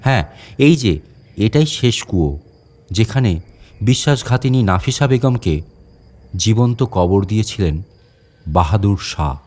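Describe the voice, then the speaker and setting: A man's voice: on the low side, expressive, unhurried, long pauses, darkish tone. A man playing a wary, talkative fort guide in a dramatic storytelling monologue, chatting to unseen visitors and putting questions to them. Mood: showman-like. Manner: conversational, addressing unseen listeners with questions. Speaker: audio story narrator. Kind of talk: dramatic first-person monologue narration.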